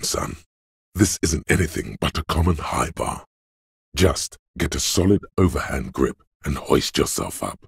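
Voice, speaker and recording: An actor playing a gruff, macho inner voice of physical strength, hectoring like a drill coach in a video game, gravelly and commanding. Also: male voice, rumbling low, darkish tone, dry recording, studio quality, exaggerated voice. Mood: gruff encouragement. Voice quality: gravelly, husky. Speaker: game character voice. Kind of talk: scripted video game narration.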